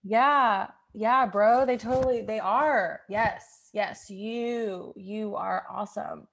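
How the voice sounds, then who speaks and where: A woman playing an awkward, apologetic date in improvised comedy, with halting, repetitive, sheepish speech. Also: medium pitch, fairly even intonation, gravelly. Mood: awkward, upbeat. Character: awkward, apologetic young date who forgets names.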